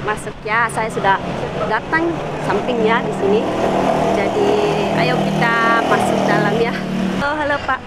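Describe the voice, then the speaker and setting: Halting woman vlogging over street noise. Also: on the high side, lively intonation. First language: not Indonesian. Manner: friendly, halting with many fillers. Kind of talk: casual vlog chat. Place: outdoor café at night. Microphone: phone camera held close. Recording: loud street noise behind.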